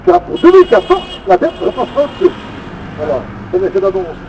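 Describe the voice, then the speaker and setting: A man's voice: high-pitched, wildly swooping pitch, brisk, a little mellow. A man with a Belgian French accent giving an emphatic, indignant political speech from notes at an outdoor gathering, with sardonic asides. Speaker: political activist speaker at a citizens' rally. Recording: muffled distant outdoor recording with faint traffic noise.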